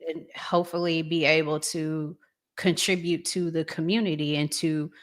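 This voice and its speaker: A woman hosting a casual talk show, reading out audience comments and posing questions in a measured, deliberate way. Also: deep voice, little pitch movement.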